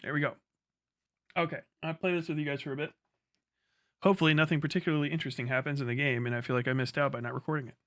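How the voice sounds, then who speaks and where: A man's voice: on the high side, fairly even intonation, racing, fairly bright tone, dry recording. A man live-streaming, chatting casually with viewers in a rambling, jokey, sweary way. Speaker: livestreamer.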